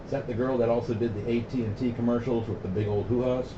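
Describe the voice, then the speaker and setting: A man's voice: mid-range voice, fairly even intonation, quick pace, a crowd in the background. A middle-aged American man chatting casually on CB radio, plain-spoken and opinionated, using radio slang. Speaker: CB radio operator hosting a radio night. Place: CB base radio station. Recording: muffled, noisy, roomy CB radio audio.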